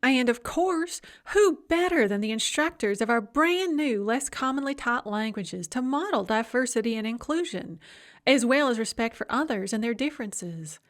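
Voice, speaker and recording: A cloying college administrator croons every sentence in a bright, high sing-song, as a woman narrator puts her on. Her sweetness is plainly fake and her tone talks down to everyone. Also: animated, moderate pace, natural voice. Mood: sugary. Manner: sing-song, sugary, condescending.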